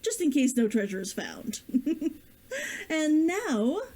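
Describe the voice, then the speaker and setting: A woman streamer and author with an expressive way of talking, chatting to viewers and reading a story aloud. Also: sits high, takes it slowly. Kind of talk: chatty greeting, then storybook read-aloud.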